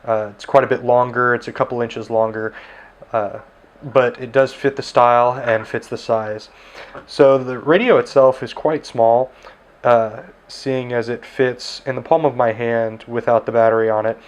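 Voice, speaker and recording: A man's voice: mid-range voice, fairly even intonation, normal speed. A man giving a casual, easygoing review of a handheld radio at his desk, with plenty of filler words. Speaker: tech reviewer, ham radio operator. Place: indoors at a desk.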